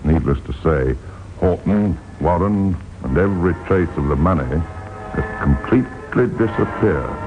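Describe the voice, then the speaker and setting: A man narrating a vintage radio crime series in a deliberate, dramatic storytelling manner. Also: low-pitched, animated. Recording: dull vintage radio recording with music. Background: music.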